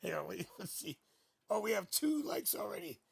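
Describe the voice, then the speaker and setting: A man with a raspy voice hosting a casual live tarot stream, chatting playfully and joking through a new studio microphone. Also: high voice, sing-song, normal speed, dry recording.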